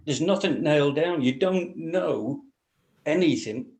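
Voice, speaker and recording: A man's voice: on the high side, lively intonation, measured pace, creaky, darkish tone. Man, blunt, in an echoey room. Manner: blunt, colloquial. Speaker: script reading panellist. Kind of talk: casual panel critique.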